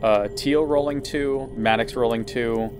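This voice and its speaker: A man's voice: mid-range voice, fairly even intonation, measured pace, noisy background. A man playing a tabletop game, asking questions to confirm rules and adding up successes aloud. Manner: methodical, tallying numbers, asking to confirm. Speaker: player.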